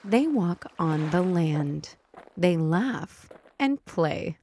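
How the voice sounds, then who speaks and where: A woman hosting a children's read-aloud, narrating and teaching early reading in simple, deliberate wording. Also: on the low side, lively intonation, warm tone, a little hiss or hum. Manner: simple, deliberate wording, instructing young readers. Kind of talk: slow phonics storybook read-aloud for young children.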